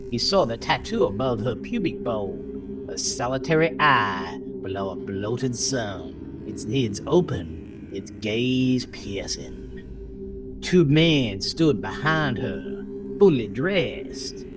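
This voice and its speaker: A man narrating a horror story in a dramatic, theatrical style, with emphatic phrasing and deliberate pauses. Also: mid-range voice, expressive. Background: music.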